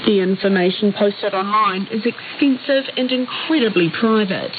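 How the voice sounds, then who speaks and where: A woman's voice: medium pitch, moderate pace. Woman news reporter on narrowband shortwave. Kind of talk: scripted radio news report. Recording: narrowband shortwave radio, faint hiss.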